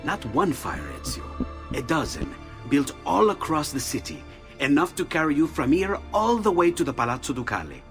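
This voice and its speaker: An actor voicing an excitable male inventor in a video game, musing one moment and overjoyed the next. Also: a little high, normal speed, faint music behind. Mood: explaining. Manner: eager, swings from musing to shouting joy. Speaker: game character.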